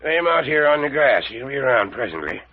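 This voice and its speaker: An actor playing an excitable, folksy old retired doctor in a radio mystery serial, crusty and exclamatory. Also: male voice, medium pitch, quick pace. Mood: grave. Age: older. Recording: muffled, rough old radio recording.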